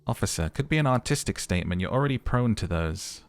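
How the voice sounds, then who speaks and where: A man's voice: medium pitch, lively intonation, quick pace, dry recording, soft background music. A man hosting a let's play, mixing dramatic narration with casual commentary.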